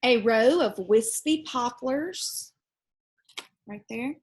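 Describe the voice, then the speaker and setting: A woman's voice: on the high side, slow pace, husky. A woman reading a children's storybook aloud expressively, with casual asides explaining the pictures to young listeners. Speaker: storybook reader.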